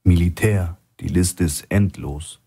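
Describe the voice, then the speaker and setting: Guy playing a big blunt sceptical operative in a thriller audiobook, husky voice. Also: low voice, takes it slowly, warm tone, full character voice. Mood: blunt, wary.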